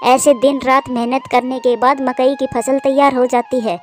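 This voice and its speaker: Woman narrator for a kids story, high voice, music playing under. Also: flat delivery, moderate pace. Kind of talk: scripted children's story narration.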